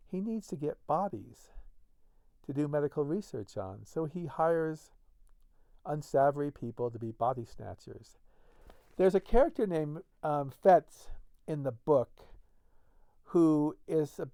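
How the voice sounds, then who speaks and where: A man's voice: fairly high pitch, warm tone, smooth, no room sound. A man hosting a book-reading series, casually introducing and narrating classic stories.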